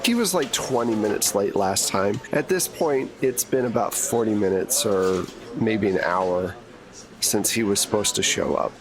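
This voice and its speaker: A man narrating a tabletop roleplaying game as game master, in a measured, conversational way. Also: on the low side, crowd noise.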